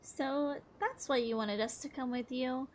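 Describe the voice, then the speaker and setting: A woman's voice: high voice, fairly even intonation, a little slow, a little hiss or hum. A woman hosting a gaming video, giving chatty, informal reactions as she plays. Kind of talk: casual game playthrough reactions.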